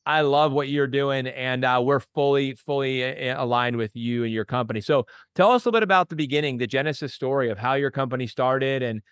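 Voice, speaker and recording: A man's voice: medium pitch, a little fast, few pauses, a bit bright, clear, resonant tone. This is a man hosting an interview podcast in a studio. He talks with eager enthusiasm and loose, chatty phrasing. The room is dry and close, with no echo at all. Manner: enthusiastic, conversational with many fillers. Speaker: podcast host, chiropractor.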